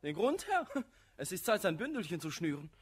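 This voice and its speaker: Comic manservant for verse radio play: scatterbrained, timid, dithering, husky, very animated. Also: male voice, high-pitched, normal speed, full character voice. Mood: urgent, flustered. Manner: flustered, hesitant, dithering. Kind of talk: classical verse comedy radio play acting.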